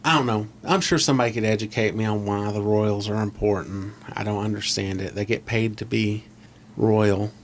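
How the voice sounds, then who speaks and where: A man's voice: lowish voice, fairly even intonation, normal speed. Podcast host, male, scratchy voice, close-miked, opinionated and rambling. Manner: opinionated, rambling, asks leading questions. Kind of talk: casual chat. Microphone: close desk mic on a boom arm.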